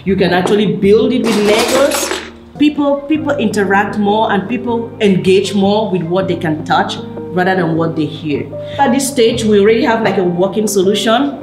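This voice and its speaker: Woman giving a relaxed tour. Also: medium pitch, normal speed. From Cameroon. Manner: relaxed, explanatory, fillers like "like". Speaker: PhD student giving a campus tour. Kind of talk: casual guided tour talk. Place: indoor university lab rooms and corridors. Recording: echoey indoor rooms. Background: background music.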